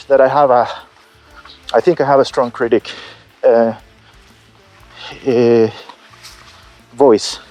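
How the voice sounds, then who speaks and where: A man speaking slowly and haltingly, stopping often to restart his sentences. He sounds candid and hard on himself, now and then swearing as he thinks aloud in a loose, unscripted monologue. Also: medium pitch, a little mellow. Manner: hesitant, halting, many fillers and restarts; candid and self-critical, with occasional swearing. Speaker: solo musician vlogging his album process. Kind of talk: unscripted reflective vlog.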